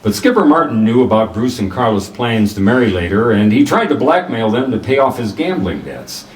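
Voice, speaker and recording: An actor playing a cool, self-assured private detective in the manner of 1940s radio drama, narrating and sparring wryly. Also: male voice, mid-range voice, sing-song, fairly quick, slight background noise, natural voice. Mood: explaining calmly. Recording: roomy echo, distant from the microphone.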